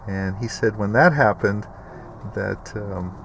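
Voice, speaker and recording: A man's voice: on the low side, takes it slowly, vocal fry, a bit bright. A middle-aged American man giving a casual, rambling, folksy walkthrough of gear as a video host. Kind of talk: casual explanatory product walkthrough. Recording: noticeable background vehicle and ambient noise.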